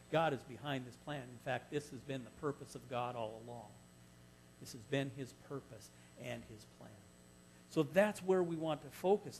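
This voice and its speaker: Middle-aged pastor guy preaching, bit high voice, faint hum behind, church hall. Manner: earnest teaching, rises loud when reading scripture. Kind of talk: sermon. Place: church hall stage, indoors.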